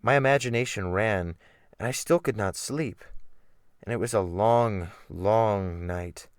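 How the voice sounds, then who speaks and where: A man's voice: lowish voice, a little slow, clean tone, no room sound, natural voice. Guy doing a fussy refined scholar type narrating an audiobook, indignant n sorry for himself, dry close sound. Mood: weary, brooding. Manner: fussy, literary, emphatic phrasing. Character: refined, bookish, soft-living male scholar, indignant and self-pitying. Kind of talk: dramatic first-person audiobook reading.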